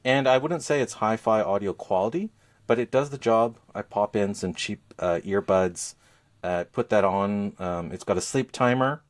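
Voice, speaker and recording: A man co-hosting a podcast, chatting casually about gadgets in a halting way as he searches for words. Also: fairly low pitch. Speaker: podcast co-host.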